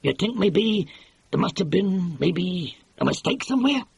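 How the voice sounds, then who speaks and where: Man voicing a slangy movie mogul, pushy, high lively voice, husky, dry close audiobook sound. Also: a little slow, no room sound, exaggerated voice. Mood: wheeling, pleased. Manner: slangy, pushy, exclamatory, keeps saying "see". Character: brash, excitable middle-aged male movie mogul. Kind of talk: audiobook character voice.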